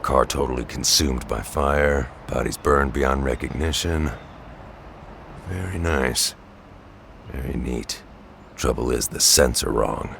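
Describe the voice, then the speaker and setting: A man playing a gruff, cynical middle-aged mutant brawler in an audio drama, blunt and growling. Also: a bass voice, takes it slowly, long pauses, a bit bright, slight background noise, exaggerated voice. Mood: grim, gruff. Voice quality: gravelly. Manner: blunt, growling, street-tough.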